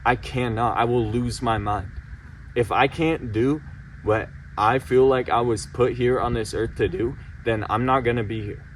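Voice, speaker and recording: A man's voice: mid-range voice, fairly even intonation, measured pace, distant mic, poor audio. A late-teen young man pours out his frustration in an insistent, repeating vent. His voice sits a little away from the mic, with faint background noise underneath. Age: late teens. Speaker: vlogger. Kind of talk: emotional personal vent to camera.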